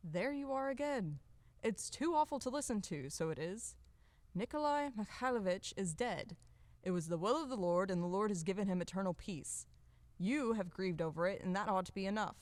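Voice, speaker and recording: A woman's voice: medium pitch, expressive, normal speed, darkish tone, no echo, natural voice. Woman playing a fussy servant, close dry sound. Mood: exasperated. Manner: coaxing, chiding, deferential. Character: fussy, plain-spoken, devoted old household servant. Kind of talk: audio play acting of a classic stage comedy.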